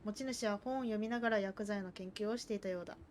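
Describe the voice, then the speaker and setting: Fast-talking woman streaming a game. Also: mid-range voice, darkish tone, a little hiss or hum. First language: Japanese. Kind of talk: casual live game commentary.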